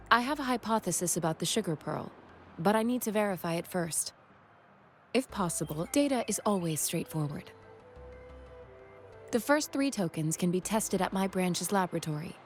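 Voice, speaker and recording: Calm young lady scientist voiced by an actress, kinda low voice, precise n clinical, game dialogue with music under it. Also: lightly acted. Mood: composed. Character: calm, analytical young woman scientist and doctor. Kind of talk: English video game voice acting.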